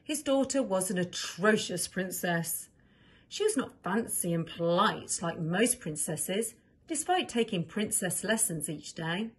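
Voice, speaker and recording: Animated woman reading a children's story. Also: lowish voice, measured pace, slightly rough. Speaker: story reader. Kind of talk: expressive children's storybook narration.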